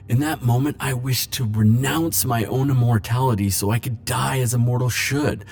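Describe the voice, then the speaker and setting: A man's voice: medium pitch, slight background noise. Man acting a lovesick immortal, swinging with feeling. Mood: wistful, confessional. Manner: halting, emotional, stammering confessions with soft wry asides. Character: lovesick immortal man, flirtatious, desperate, world-weary. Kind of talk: romantic audio roleplay, intimate dramatic acting.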